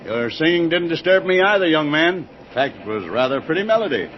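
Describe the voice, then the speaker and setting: Folksy older American train passenger, amused and talkative, slightly high voice, noisy vintage radio. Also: male voice, sing-song, fast talker. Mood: amused, friendly. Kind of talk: old-time radio drama acting. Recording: dull, noisy old radio recording.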